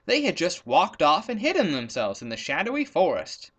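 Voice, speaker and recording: A man narrating a children's story as a storyteller. His delivery is extremely lively, with wide swings of pitch from phrase to phrase. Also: moderate pace. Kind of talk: animated children's story reading, scripted narration.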